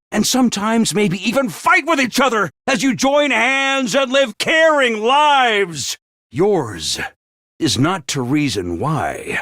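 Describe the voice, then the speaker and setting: A man's voice: extremely high pitch, pushed and bright, no echo, studio quality, full character voice. A male actor playing a bombastic, theatrical little mascot commander in a video game, swinging between chirpy and sly. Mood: rousing, then solemn, commanding. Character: bombastic, theatrical small robot-mascot commander, cheery yet menacing. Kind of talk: animated video game voice acting.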